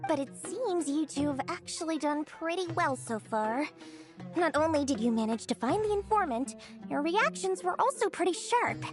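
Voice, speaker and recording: A brash, sly merchant. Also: female voice, sits high. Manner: brash, bargaining, teasing. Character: sharp-tongued savvy female merchant. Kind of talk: game cutscene voice acting. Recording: game soundtrack music behind.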